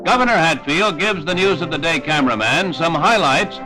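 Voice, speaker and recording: An American man narrates a 1960s newsreel in a formal announcer's style. His voice sits a little high, and clearly audible music plays behind him as he reads his script. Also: expressive, normal speed, rough recording.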